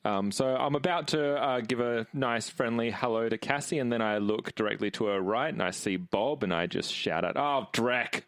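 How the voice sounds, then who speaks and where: A man narrating his character's actions as a player in a tabletop game, informal and halting. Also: mid-range voice, dry recording. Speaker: player on an actual play podcast.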